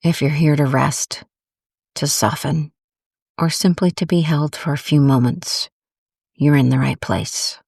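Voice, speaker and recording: A woman guiding a meditation in a gentle, calm, unhurried way with long pauses between phrases. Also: sits low, vocal fry, breathy edge, no room sound, studio quality. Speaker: guided meditation host. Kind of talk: soothing guided meditation narration.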